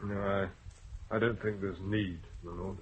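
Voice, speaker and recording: An actor playing a plain-spoken senior police officer in a radio drama. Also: male voice, deep voice, fairly even intonation, measured pace, breathy edge. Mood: firm. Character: blunt senior male Scottish police chief. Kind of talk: 1970s BBC radio drama acting. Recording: dull old radio broadcast.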